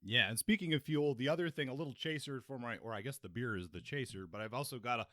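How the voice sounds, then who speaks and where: A man's voice: mid-range voice, fast, a bit bright, no room sound, clean studio sound. A man co-hosting a podcast, chatting casually about books. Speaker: podcast co-host. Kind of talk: casual book discussion.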